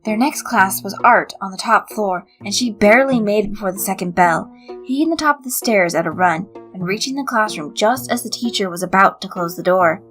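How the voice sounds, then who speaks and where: Bright-voiced woman narrating a story over music. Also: medium pitch, lively intonation, fairly quick. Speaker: fan fiction reader. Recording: music under the voice. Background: background music.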